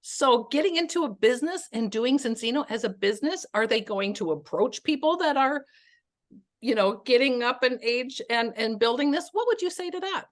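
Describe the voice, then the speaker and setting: A woman's voice: fairly high pitch, animated, normal speed. A woman hosting an interview on a video call, upbeat, chatty and encouraging toward her guests. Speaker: host.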